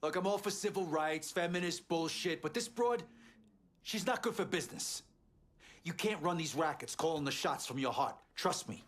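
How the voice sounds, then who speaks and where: An actor playing a foul-mouthed, hot-tempered gangster with a blunt, streetwise manner in a video game. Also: male voice, a little high, lively intonation, moderate pace. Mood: scornful, persuading. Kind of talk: video game cutscene acting.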